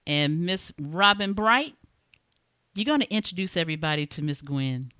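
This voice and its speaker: A woman with a bright, smooth voice hosts a live talk show. She is chatty and informal, and the sound is close and dry. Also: fairly low pitch, animated, moderate pace, no room sound. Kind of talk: casual live show hosting with shout-outs.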